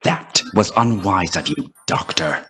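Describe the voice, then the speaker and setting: A man playing a commanding, gloating villain in an audio drama, speaking deliberately with smug menace. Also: low-pitched, pushed and bright, heavily acted. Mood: commanding, menacing.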